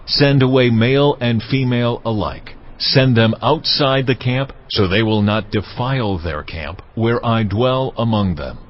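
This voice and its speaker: A man voicing God in a dramatized audio Bible, giving solemn commands in a measured, authoritative way. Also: mid-range voice, a little hiss or hum, lightly acted. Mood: solemn, commanding. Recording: dull studio sound under music. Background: clear background music.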